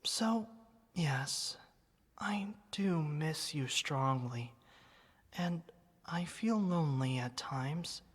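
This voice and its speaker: A tender, wistful teddy bear played by a woman speaks in an ASMR roleplay with a soft, slightly low voice. The delivery is very unhurried and sentimental, like a loving childhood friend. Long reverb surrounds every word. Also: animated, full character voice. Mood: wistful, fond. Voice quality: soft, breathy.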